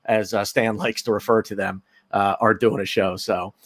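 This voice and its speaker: A man's voice: lowish voice, level tone, moderate pace, fairly bright tone. A man hosting a podcast in a loose, joking way, rambling through introductions in casual chat. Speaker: podcast host.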